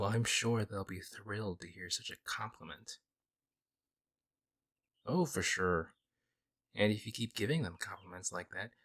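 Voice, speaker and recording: Low-voiced, teasing man as a friendly male werewolf. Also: fairly bright tone. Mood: easygoing, friendly. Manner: playful, teasing, casual talk to the listener. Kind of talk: ASMR audio roleplay.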